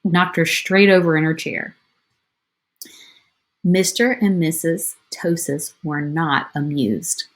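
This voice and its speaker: A woman's voice: low-pitched, fairly even intonation, takes it slowly, long pauses, poor audio. A woman teacher reading a picture book aloud to children, expressively, with chatty asides.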